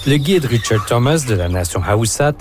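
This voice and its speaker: A man narrating a news report in a measured, even way. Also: mid-range voice, background noise. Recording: voice-over with music behind. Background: music.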